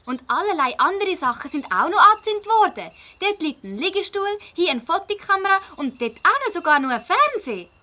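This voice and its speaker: A woman voicing an eager, determined schoolgirl detective in a children's audio drama, emphatic and expressive, with a Swiss German accent. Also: very high voice, a little fast, hardly any pauses, full character voice. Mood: indignant.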